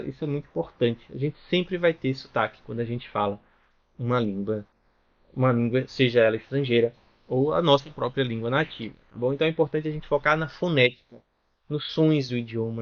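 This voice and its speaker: A man from Brazil teaches an online language lesson in a mid-range voice. He explains patiently and keeps checking in with the listener. The audio sounds a little dull. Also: medium pitch. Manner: explanatory, with frequent "né?" and "tá bom?" check-ins.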